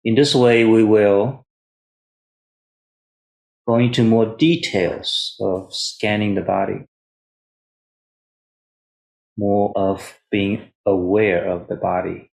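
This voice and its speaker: A man guiding meditation as a teacher, speaking in short, measured phrases with long pauses between them. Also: lowish voice, fairly even intonation, creaky, a little mellow, low-quality recording. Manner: refers to himself in third person as teacher. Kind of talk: guided meditation instruction.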